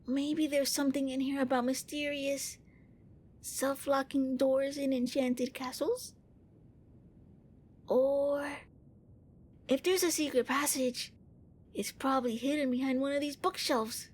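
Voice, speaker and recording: A woman playing a gentle, bookish young vampire prince in an ASMR roleplay, speaking with hesitant, earnest courtesy. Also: high-pitched, steady tone, unhurried, pauses often. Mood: musing. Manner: hesitant, politely fussy, earnest.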